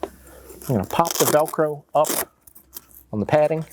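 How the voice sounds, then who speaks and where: A man's voice: fairly high pitch, moderate pace, noisy background. An American man in his forties giving a casual, plain-spoken step-by-step tutorial as an installation instructor.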